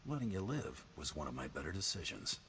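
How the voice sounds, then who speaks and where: An actor playing a cool, steady partner in crime in a video game, speaking in short, deadpan replies. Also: male voice, rumbling low, fairly quick, a little hiss or hum, full character voice. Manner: terse, deadpan. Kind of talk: video game character dialogue.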